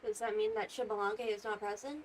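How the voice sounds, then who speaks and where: A woman's voice: medium pitch, steady tone, a little fast, slight background noise, natural voice, low-quality recording. A woman voicing a confident, good-natured female fighter in a fantasy game, casual and self-assured. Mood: inquisitive. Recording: background music.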